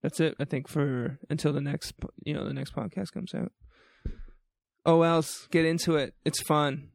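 A man's voice: fairly high pitch, fairly even intonation, vocal fry, dry recording, studio quality. A young man from New Brunswick with a New Brunswick accent, co-hosting a casual podcast with playful, joking asides. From New Brunswick, Canada. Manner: jokey, playful, quick asides. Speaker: podcast co-host. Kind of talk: casual chat.

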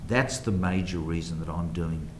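Deep-voiced New man, echoey room. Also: normal speed, a little mellow, slight background noise. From New Zealand. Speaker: guest, private equity investor. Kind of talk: radio interview at a banquet. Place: banquet hall.

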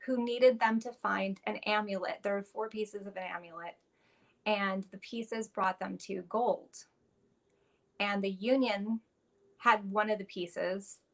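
Bright-voiced earnest woman. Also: mid-range voice. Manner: earnest, heartfelt, with frequent restarts and fillers. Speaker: actress guest. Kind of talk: casual interview talk.

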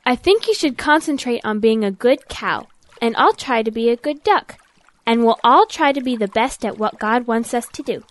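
Woman playing a sensible little girl duck, high-pitched, patient, friendly, audio drama. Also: lively intonation, moderate pace, no room sound, natural voice. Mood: gently advising. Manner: patient, gently explaining.

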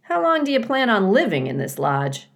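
A woman voicing a folksy, bossy but kindly male beaver builder in a children's storybook, chatty and gruff in manner. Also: fairly high pitch, sing-song, moderate pace, a bit bright, voice pushed up. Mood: brisk, businesslike.

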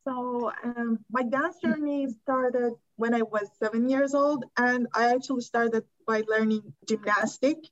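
Slow-talking woman with an Iranian accent. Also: fairly high pitch, no echo. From Iran. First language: Persian. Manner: soft-spoken, laughs often, slightly broken English. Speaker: guest dancer. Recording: dull dry sound.

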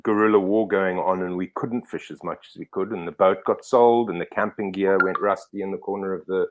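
Middle-aged Zimbabwean-born man, lowish voice, rambling casual guest, heavy fillers and restarts. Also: steady tone, brisk. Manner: rambling, self-correcting, lots of fillers. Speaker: podcast guest. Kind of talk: casual storytelling interview.

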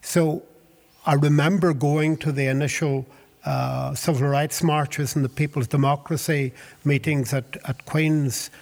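An older man with a Northern Irish accent, telling his life story in an interview in a measured, hesitant way. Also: a little high, fairly even intonation, creaky, a little mellow, big-room sound. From Northern Ireland. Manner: measured, hesitant with fillers and restarts. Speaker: interviewee, Baptist minister. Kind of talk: reflective storytelling.

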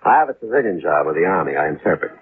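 A man playing a prickly, cagey interpreter with a needling, drawn-out delivery in a 1950s radio drama. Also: deep voice, big pitch swings, husky, exaggerated voice. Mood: sly, needling. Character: prickly, cagey male American Army interpreter, slyly familiar. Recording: muffled, noisy 1950s radio recording.

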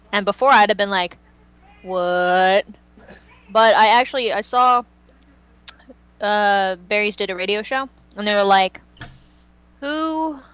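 A woman's voice: medium pitch, fairly bright tone, dry recording, highs cut off. A woman hosting a podcast, chatting casually in an informal, slangy way. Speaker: podcast host.

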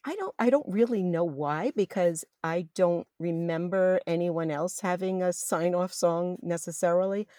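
A middle-aged woman with a deep voice for a woman, fondly and enthusiastically reminiscing in an interview. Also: normal speed, hardly any pauses, mellow, no room sound, clean studio sound. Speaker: interviewee, former college radio jazz announcer. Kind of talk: reminiscing in an oral history interview.

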